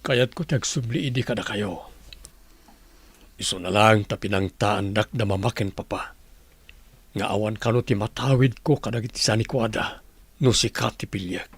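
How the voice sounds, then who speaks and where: A man playing an earnest, remorseful husband in an Ilocano radio drama, with a gravelly, husky voice and an Ilocano accent. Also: on the low side, normal speed, pauses often. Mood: earnest, pleading. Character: earnest, remorseful husband pleading with his wife.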